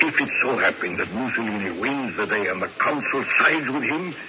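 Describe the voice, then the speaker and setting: An actor playing a grimly resolute Italian fascist councillor in a radio drama, speaking in a deliberate, theatrical, oratorical way. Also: male voice, mid-range voice, lively intonation, close to an everyday voice. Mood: grave, conspiratorial. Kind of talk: American radio drama acting. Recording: noisy, dull old radio broadcast.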